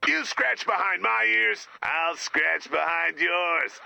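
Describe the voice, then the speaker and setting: A man voicing a ruthless, pompous corporate werewolf in a video game, gravelly and slick, with a radio effect. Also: animated, takes it slowly, pushed and bright, dry recording, full character voice. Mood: slick, scheming. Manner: pompous, slick business pitch. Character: charismatic, ruthless corporate werewolf executive, pompous and jargon-spouting. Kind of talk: video game character voice acting.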